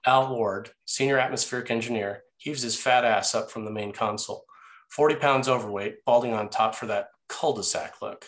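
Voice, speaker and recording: A man's voice: mid-range voice, normal speed, a bit bright, poor audio. A man narrating the scene directions of a screenplay at a table read. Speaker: narrator reading stage directions.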